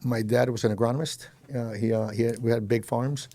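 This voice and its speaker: A man's voice: mid-range voice, fairly even intonation, fairly quick, warm tone. A man in his fifties, originally from Colombia, telling his life story as a podcast guest in a rambling, self-deprecating way and laughing easily. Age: 50s. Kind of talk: casual storytelling interview.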